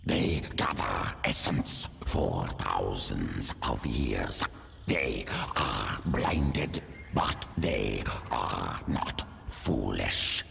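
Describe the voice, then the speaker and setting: Ancient alien pack beast for a game, super low growl, terse words, swings around a lot. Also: male voice, sing-song, creaky, a little hiss or hum, heavily acted. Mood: solemn. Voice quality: guttural growling voice. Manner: terse, primitive, deliberate phrasing. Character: ancient primal alien beast pack leader, cold and predatory. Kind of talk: video game cinematic acting. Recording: loud music under the voice.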